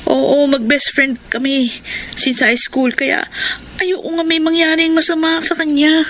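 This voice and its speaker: A woman acting in a Filipino radio drama as a worried, meddling young friend, speaking in a hesitant, halting way. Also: high-pitched, level tone, a bit bright.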